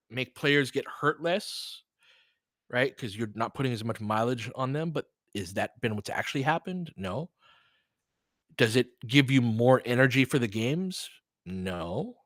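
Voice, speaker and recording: Middle aged guy doing chatty live hoops commentary, bright punchy voice, dry close sound, opinionated. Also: medium pitch, dry recording. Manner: conversational, opinionated, reacts aloud to the action. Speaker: online basketball play-by-play host. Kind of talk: casual live play-by-play commentary mixed with chat.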